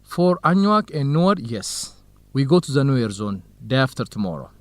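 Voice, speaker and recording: An actor with an Ethiopian accent playing an organized, courteous, gently grumbling male researcher in an educational radio drama, speaking in a measured, explanatory way. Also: mid-range voice, very animated, no room sound, lightly acted. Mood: helpful, practical.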